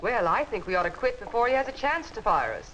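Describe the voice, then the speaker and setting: Indignant American office clerk, animated intonation. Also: female voice, a little high, fast talker, muffled recording. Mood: indignant, resentful. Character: indignant, defiant female office clerk, quick to take offense. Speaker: actor in workplace instructional film. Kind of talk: 1950s instructional film acting. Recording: hissy 1950s film soundtrack.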